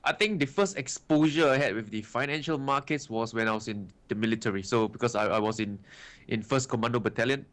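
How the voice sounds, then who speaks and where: A man's voice: medium pitch, fairly quick, fairly bright tone. Chatty young Singaporean man. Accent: Singaporean English. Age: 20s. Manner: chatty, many fillers like "right" and "you know". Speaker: guest trader. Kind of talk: casual interview answers.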